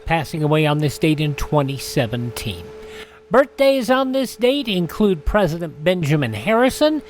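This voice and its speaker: Male radio presenter, slightly high pitch, history rundown with wry asides, faint background noise. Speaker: radio host.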